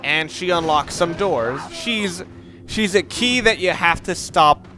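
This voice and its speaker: High-pitched, bright-voiced man commentating on a game. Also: expressive, a little hiss or hum. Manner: halting, digressive, swears casually. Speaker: let's play gamer commentator. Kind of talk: casual gameplay commentary, opinionated rambling.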